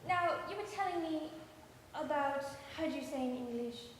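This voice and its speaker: Woman playing very high-pitched fake-French coquette. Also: natural voice. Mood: coy, flustered. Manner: halting, coy, melodramatic. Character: glamorous bride posing flirtatiously as a Frenchwoman, theatrical and flustered. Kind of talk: stage musical comedy acting. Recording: very echoey hall, faint noise.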